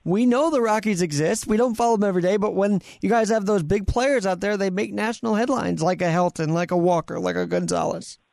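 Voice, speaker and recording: A man with a high, creaky voice hosts a casual interview. He is from New York, and the sound is dry and close, with very little room. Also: steady tone, fairly quick, few pauses, a little mellow, no echo. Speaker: interview show host.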